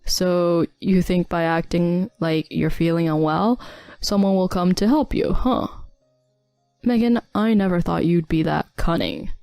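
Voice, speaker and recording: Woman playing a cold, scolding young husband, low mellow voice, dry sound, faint music behind. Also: measured pace, vocal fry, clear, resonant tone, no echo. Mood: scornful. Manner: curt, condescending.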